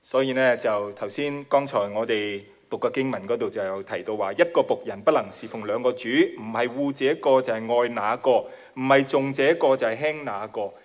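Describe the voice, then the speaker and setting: A man's voice: medium pitch, a little fast, hardly any pauses. Hong Kong-accented man preaching in short phrases. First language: Cantonese. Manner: modest, with shy laughs between phrases. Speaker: guest preacher. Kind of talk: sermon delivered in short chunks for an interpreter.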